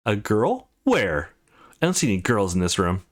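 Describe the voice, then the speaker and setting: A man's voice: fairly low pitch, wildly swooping pitch, moderate pace. A man playing a laid-back, wry young man, the protagonist of a visual novel game. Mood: deadpan taunting.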